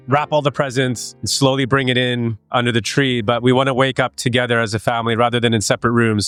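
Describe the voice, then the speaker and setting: Man from Vancouver, casual personal telling, mid-pitched, dry recording with a music bed. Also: a bit bright, clean tone, dry recording. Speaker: guest sharing a tradition.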